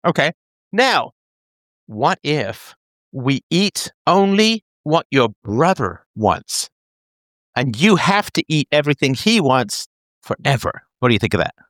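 A man's voice: on the high side, measured pace, no room sound, clean studio sound. A man in his thirties in a casual chat, explaining an idea playfully as if talking to a child. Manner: animated, playful, talks as if to a child.

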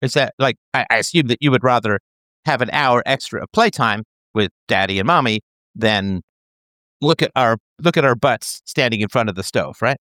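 A man's voice: medium pitch, moderate pace, no room sound. A man in his thirties in a casual chat, explaining an idea playfully as if talking to a child. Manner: animated, playful, talks as if to a child.

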